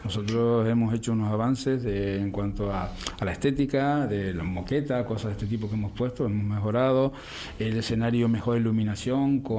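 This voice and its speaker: Rambling theatre manager with faint hiss. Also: male voice, mid-range voice, dark tone. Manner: rambling, hesitant, repeats words. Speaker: theatre manager, radio guest. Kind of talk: casual radio interview.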